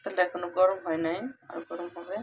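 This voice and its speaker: A woman's voice: lowish voice, flat delivery, a little fast, highs cut off. A woman with a Bangladeshi accent chatting casually and colloquially to viewers as she vlogs from home. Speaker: home vlogger and mother. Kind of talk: casual home cooking vlog chat. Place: at home, partly in the kitchen while frying. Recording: noisy home recording with clicks.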